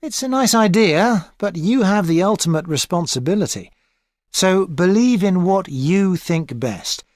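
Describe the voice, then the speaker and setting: An actress playing an experienced tutor advising a student in a scripted exam dialogue, calm and measured. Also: female voice, lowish voice, no room sound. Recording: dry studio recording.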